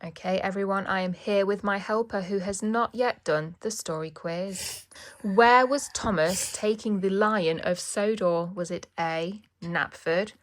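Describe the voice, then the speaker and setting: A woman's voice: medium pitch, measured pace, no echo. A woman reading a children's story aloud as narrator and quiz host.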